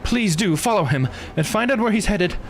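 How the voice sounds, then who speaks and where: A man's voice: high-pitched, expressive, normal speed, voice pushed up. A man voicing a composed, authoritative female police superior in a visual novel game. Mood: decisive.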